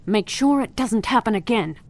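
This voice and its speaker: A woman's voice: on the high side, expressive, moderate pace, a little mellow. A woman playing a cold, haughty bereaved mother in a radio play, formal and stern, with music behind her. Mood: annoyed.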